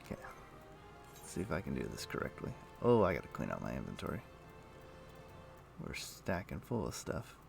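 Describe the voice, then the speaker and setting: A man streaming a game, chatting casually and thinking aloud in a halting, muttering way as he plays. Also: extremely low pitch, wildly swooping pitch, gravelly, music in the background. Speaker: game livestreamer. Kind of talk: casual livestream chat with gameplay commentary.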